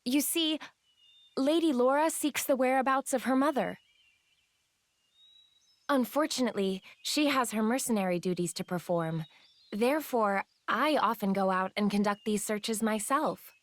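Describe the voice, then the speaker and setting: A woman's voice: fairly high pitch, dry recording, close to an everyday voice. An actress playing a loyal, polite female attendant in a fantasy game, formal and deferential. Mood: respectful. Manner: formal, deferential, measured wording.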